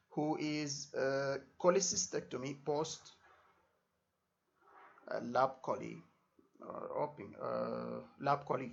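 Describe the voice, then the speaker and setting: A man's voice: on the high side, vocal fry, a bit bright. A man giving an informal exam lesson as a tutor, repeating himself and checking understanding with short tags. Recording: dull lo-fi microphone sound.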